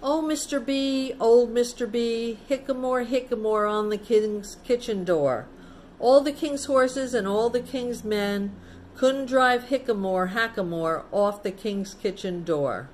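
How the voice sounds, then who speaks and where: A woman's voice: a little high, a little slow, slight background noise, close to an everyday voice. A woman voicing an impertinent young male squirrel from a storybook, reciting silly rhymes in a teasing sing-song. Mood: cheeky, teasing. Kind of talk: storybook character reading.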